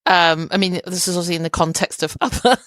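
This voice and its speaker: A woman's voice: fairly low pitch, quick pace, fairly bright tone, no echo, studio quality. A woman guest on a podcast in casual chat, thoughtful and hedging, laughing easily.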